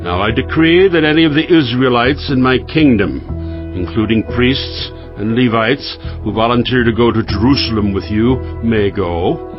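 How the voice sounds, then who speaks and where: A man playing a regal, formal king dictating a decree in a measured, stately way for a dramatized scripture reading. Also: medium pitch, natural voice. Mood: stately, commanding. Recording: muffled, music behind the voice. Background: music.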